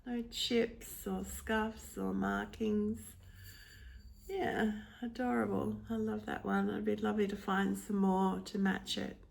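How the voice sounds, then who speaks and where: A woman talks through her thrift-shop haul, slow and unhurried, with plenty of ums. Her voice is nasal and stuffy from hay fever, with a slight gravelly fry at the ends of phrases. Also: medium pitch, little pitch movement. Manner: slow, gentle, with frequent ums. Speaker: thrift vlogger. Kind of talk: casual show-and-tell of thrift finds.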